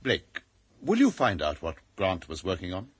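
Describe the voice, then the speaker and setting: A man's voice: low-pitched, wildly swooping pitch, moderate pace, slightly rough, no echo, full character voice. A man playing an earnest, authoritative British intelligence chief in a radio drama, formal and clipped in manner. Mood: earnest, brisk.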